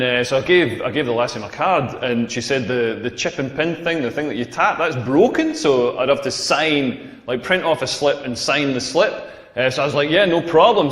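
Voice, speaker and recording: A man delivers a crude stand-up routine in a high-pitched voice that rings around a reverberant hall. He rambles from phrase to phrase, repeating himself for comic effect. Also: fairly bright tone. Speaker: stand-up comedian. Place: live comedy venue. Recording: echoey hall with long reverb.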